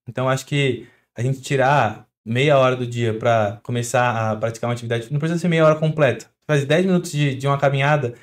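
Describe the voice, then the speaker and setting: A young adult man with a Brazilian Portuguese accent, a doctor guest on a podcast, explaining with everyday examples in a quick, chatty way. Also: medium pitch. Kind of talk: podcast interview.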